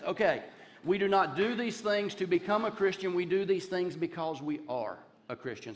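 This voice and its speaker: A man in his fifties from Charlotte with a Southern accent, a guest pastor giving a conversational sermon on a church stage with folksy jokes and asides, speaking into a headset mic. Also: on the high side. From Charlotte, North Carolina. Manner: folksy, joking asides, gestures while pacing the stage. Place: large dark church stage.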